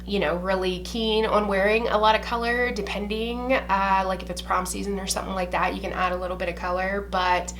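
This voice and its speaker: A woman's voice: mid-range voice, level tone, brisk, few pauses, fairly bright tone. Young ohio girl talking to camera in her room, blunt and sweary, faint hum behind. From Ohio, USA. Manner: chatty, blunt, swears casually, drawls "girl" and "y'all". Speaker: beauty vlogger. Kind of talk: casual chat to camera. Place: small indoor room with shelves behind.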